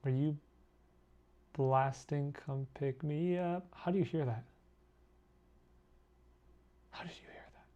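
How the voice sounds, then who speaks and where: A man's voice: a little high, slow pace, long pauses, dark tone, lo-fi sound. Easygoing young man chatting on stream. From born in Korea, raised abroad. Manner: self-deprecating, chatty, laughs often. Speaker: artist live-streaming. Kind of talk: casual live-stream chat reading comments.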